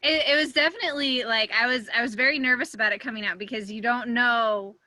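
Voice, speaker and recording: Very bright, crisp young woman, dry sound. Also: on the high side, quick pace, no echo. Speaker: actress on a panel. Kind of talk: casual panel chat. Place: indoors, online video seminar.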